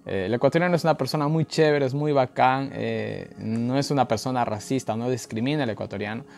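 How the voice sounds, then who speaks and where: A man with an Ecuadorian Spanish accent leading an informal online Bible study in a colloquial, halting way full of filler words. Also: medium pitch, vocal fry, a little mellow. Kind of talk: informal Bible study talk, verse-by-verse commentary. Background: background music.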